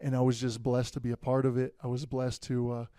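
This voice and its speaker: Homem jovem contando histórias, voz escura. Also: male voice, mid-range voice, fairly quick, no room sound, clean studio sound. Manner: relaxed storytelling, many fillers. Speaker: guest, future pastor. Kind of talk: casual podcast conversation.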